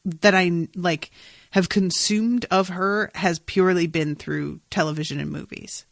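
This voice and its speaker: Female podcast co-host, lowish gravelly voice, wry and emphatic in casual chat. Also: steady tone, a little slow, no room sound, clean studio sound. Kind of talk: casual chat about a TV episode.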